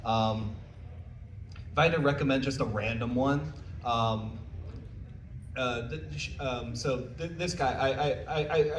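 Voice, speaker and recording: A man giving an informal, jokey live talk as a panel presenter, taking questions in a conversational way. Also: medium pitch. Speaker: convention panel presenter, visual novel developer. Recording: roomy, distant mic, faint background noise.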